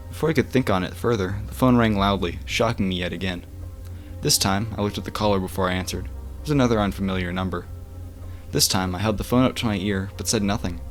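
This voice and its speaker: A man reading a first-person horror story aloud as bedtime narration, like an amateur audiobook with the occasional stumble. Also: lowish voice. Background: music.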